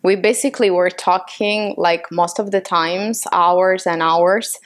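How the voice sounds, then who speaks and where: Slow-talking Italian woman, slightly low voice. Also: fairly even intonation. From Italy. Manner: hesitant phrasing with frequent "like" and tag questions. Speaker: podcast co-host. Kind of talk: casual chat.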